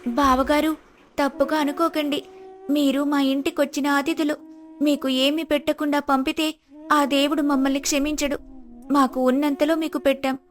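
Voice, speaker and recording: Woman acting a high-pitched humble village wife. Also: little pitch movement, moderate pace, close to an everyday voice. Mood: humble, pleading. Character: humble, hospitable poor village wife, devoted. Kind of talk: Telugu moral-story cartoon dubbing. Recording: music behind the voice.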